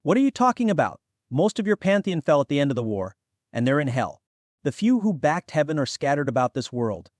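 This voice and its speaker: A man's voice: on the high side, big pitch swings, brisk, a little mellow, no echo, studio quality. A man voicing an impatient, skeptical young demigod nephew in a fantasy audio drama, with a blunt, exasperated manner.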